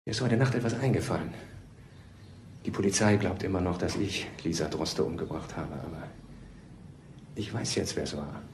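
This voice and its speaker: Gravelly, obsessive male suspect. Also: low-pitched, moderate pace, pauses often, a little mellow. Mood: brooding. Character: earnest, odd, obsessive young murder suspect with mother fixation. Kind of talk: crime radio drama acting. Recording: echoing room, faint background noise.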